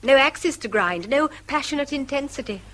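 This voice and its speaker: An actress playing a weary, wistful English wife with a defiant streak, in an emphatic British radio drama style. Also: female voice, high voice, animated, normal speed, a bit bright. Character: weary wife Mary, wistful, quick to defy her husband.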